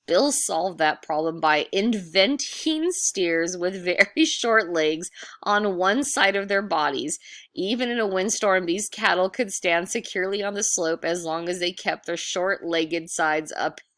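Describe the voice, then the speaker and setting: Bright, unhurried woman reading a storybook aloud. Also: on the low side, no room sound, studio quality. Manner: playful, amused, animated, addresses listeners directly. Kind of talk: picture-book read-aloud with casual asides.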